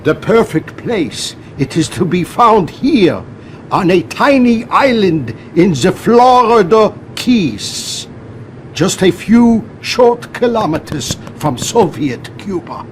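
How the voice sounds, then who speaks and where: An actor playing an eccentric elderly German physicist in a game, polite and scheming, speaking haltingly with a German accent. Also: male voice, high-pitched, very animated, exaggerated voice. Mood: sly, deliberate. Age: older. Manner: halting, deliberate, with verbal tags. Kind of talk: video game cutscene acting. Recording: noisy closed-circuit video feed.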